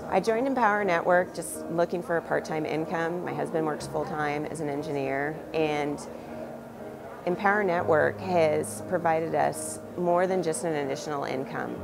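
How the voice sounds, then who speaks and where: Low-voiced woman with music bed. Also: normal speed, gravelly. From Seattle, Washington. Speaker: stay-at-home mom. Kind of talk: promotional testimonial. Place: studio booth before a logo backdrop.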